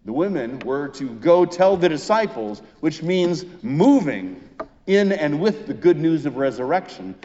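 An American man preaching a sermon as a pastor, in a deliberate, emphatic way with pauses between phrases. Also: fairly high pitch, very animated, mellow, a little hiss or hum. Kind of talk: Easter sermon, measured scripted preaching. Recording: muffled, long church-like reverb.

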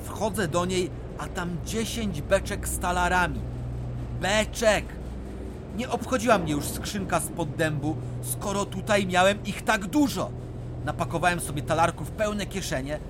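An actor playing a boastful male miner who spins tall tales in a lively, theatrical manner in a radio drama. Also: high voice, a little slow, noisy background. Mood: excited boasting. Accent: Silesian. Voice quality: lively storyteller, swooping theatrical delivery.

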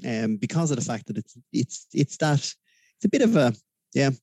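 A man's voice: medium pitch, big pitch swings, moderate pace, a little mellow, no echo. A man with a Dublin Irish accent as a podcast guest, talking in a rambling, hesitant way with many fillers. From Ireland. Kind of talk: casual interview chat.

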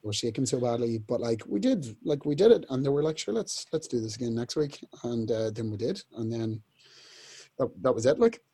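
A young man, a band's singer, telling rambling stories in a casual interview, clearing his throat often. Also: mid-range voice, lively intonation, a little fast, warm tone. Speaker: band singer, interview guest.

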